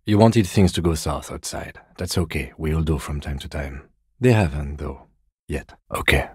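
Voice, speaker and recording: An actor playing a composed, weary police detective in a video game, with dry, deadpan, measured delivery. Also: male voice, rumbling low, slightly rough, no echo, full character voice. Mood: weary, matter-of-fact.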